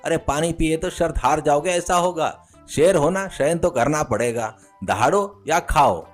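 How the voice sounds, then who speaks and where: A man's voice: fairly high pitch, unhurried, faint music behind, voice pushed up, close to an everyday voice. A man voicing a humble, ragged beggar with a wheedling, mock-innocent manner in a comic moral story. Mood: teasing. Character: humble ragged beggar man pleading, secretly a police inspector.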